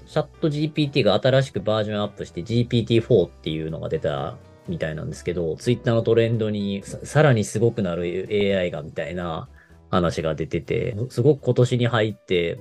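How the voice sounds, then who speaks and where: A man around forty with a very low, creaky voice. He talks quickly, explaining things casually as a radio co-host. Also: darkish tone. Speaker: radio show co-host, marketer. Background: background music.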